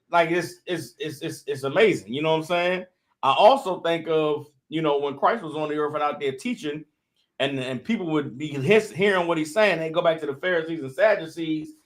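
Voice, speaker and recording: A man giving loose, impassioned religious commentary, full of "you know what I'm saying" as his intensity builds. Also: fairly high pitch. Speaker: member of the group adding commentary.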